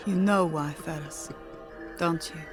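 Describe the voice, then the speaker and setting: A woman playing a haunted mining engineer suffering in the dark in an audio drama. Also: deep voice, fairly even intonation, unhurried, soft background music. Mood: haunted, bitter. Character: haunted mining engineer enduring pain in darkness.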